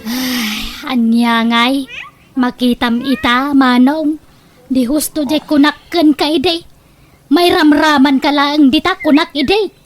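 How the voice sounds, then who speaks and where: A whiny naive young village girl, really high voice, radio comedy, slight noise behind. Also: fairly even intonation, slow pace, faint music behind. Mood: coaxing. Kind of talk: comedy radio drama acting in Ilocano.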